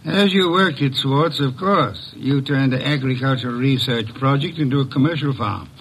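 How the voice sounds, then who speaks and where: Male, shrewd pompous middle-aged detective for classic radio mystery; husky, gravelly, courtly and articulate. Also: mid-range voice, moderate pace, a bit bright, low-quality recording. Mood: accusing. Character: shrewd, imperious, portly private detective, middle-aged man. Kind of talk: old-time radio detective drama acting.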